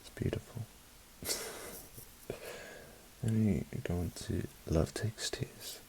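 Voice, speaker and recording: A man from South Africa with a mixed British accent, giving an unhurried, soft-spoken ASMR album review in a half-whispered voice with wry asides, close to the mic. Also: low voice, creaky, a little hiss or hum, poor audio.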